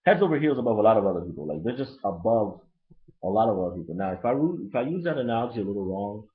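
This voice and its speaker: A man with a Haitian accent who grew up in Brooklyn, ranting bluntly and profanely in a casual, rambling album review. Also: very fast. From Brooklyn, New York. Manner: profane, blunt and rambling, lively pitch swings. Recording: lo-fi dull recording.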